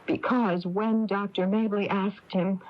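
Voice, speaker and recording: An American actress playing a nosy, headstrong young small-town wife in an emphatic 1980s radio drama style. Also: female voice, mid-range voice, steady tone, a little slow, lightly acted. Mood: gossipy, exasperated. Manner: emphatic, insistent. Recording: dull lo-fi AM radio tape.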